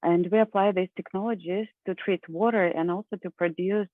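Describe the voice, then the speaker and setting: A woman's voice: mid-range voice, fairly even intonation, a little fast, dry recording. Woman from Russia presenting over a call. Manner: friendly, with small laughs and fillers. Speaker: conference speaker. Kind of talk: online conference presentation. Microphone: video call. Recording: tinny phone-like online call audio.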